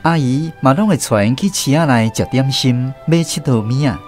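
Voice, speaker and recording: An actor with a Taiwanese accent narrating a family tale as the storyteller in a radio drama. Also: male voice, mid-range voice, normal speed, soft background music, lightly acted.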